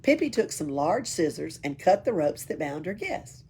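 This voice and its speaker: A woman's voice: lowish voice, big pitch swings, moderate pace, dark tone. A woman reading a children's story aloud as a library storyteller. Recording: faint background noise, room ambience.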